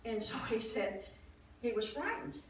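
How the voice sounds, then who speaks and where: Woman acting an excitable devout old priest's wife, echoey. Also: a little high. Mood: earnest, explaining. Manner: conversational, expressive, halting with restarts and acted-out exclamations. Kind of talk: first-person dramatized Bible monologue, storytelling. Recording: roomy echo, distant mic, faint noise.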